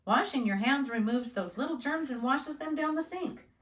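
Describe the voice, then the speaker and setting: A woman voicing a calm, reassuring father who patiently explains things to his child in a storybook. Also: high voice, normal speed, voice pushed up. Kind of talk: children's storybook read-aloud. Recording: roomy, echoing indoor sound.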